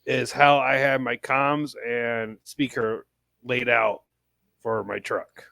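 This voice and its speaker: A man's voice: medium pitch, little pitch movement, slow pace, gravelly, pushed and bright, no echo. A man talking casually as a podcast panelist, describing gear on his truck.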